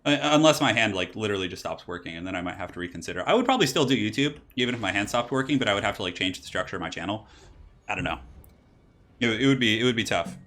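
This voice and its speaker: A young man commentating a competitive strategy game on his own stream. His voice is slightly raspy, and he talks quickly in a loose, rambling way, breaking into excited exclamations at the plays. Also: fairly low pitch. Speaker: esports caster and channel host.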